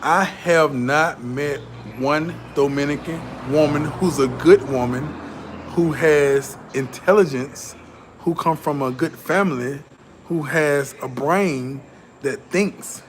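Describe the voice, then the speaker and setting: Blunt, animated man talking straight. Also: on the high side, slow, a little hiss or hum. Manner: blunt, profane, repetitive fillers. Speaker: vlogger. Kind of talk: casual commentary to camera.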